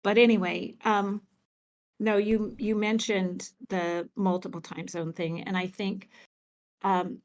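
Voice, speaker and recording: Animated, laughing woman guest. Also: on the low side. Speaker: podcast guest, event organizer. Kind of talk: casual podcast conversation. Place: office.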